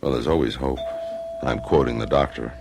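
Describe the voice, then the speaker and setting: A steady, somber husband in a radio drama. The man's voice is extremely low with a gravelly creak. His delivery is grave and halting. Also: a little hiss or hum. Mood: somber, consoling. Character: somber, steady husband facing bad hospital news. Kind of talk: radio mystery drama acting.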